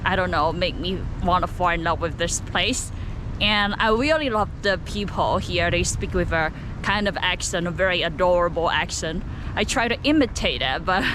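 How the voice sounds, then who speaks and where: A woman's voice: on the low side, lively intonation, moderate pace. A young woman from southern China with a Cantonese accent, chatting casually as a travel vlogger outdoors in a city and musing with fillers. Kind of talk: casual travel vlog narration. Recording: outdoor street noise with traffic.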